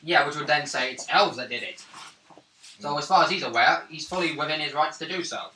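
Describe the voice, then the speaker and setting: A man's voice: a little high, fairly quick, sharp tone. A man chatting casually about rules as a player at a tabletop game. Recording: roomy, distant mic, faint table noise.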